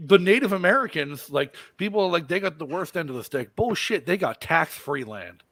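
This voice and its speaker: Blunt man with a bright, high-ish voice. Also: animated, a little fast, no echo. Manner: blunt, profane opinions. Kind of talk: casual group chat.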